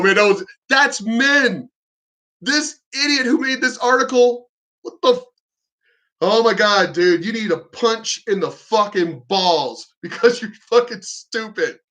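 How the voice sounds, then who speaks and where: A man's voice: high voice, expressive, a little slow, sharp tone. A man giving a combative, profanity-laced rant as a reaction commentator, mocking what he reacts to.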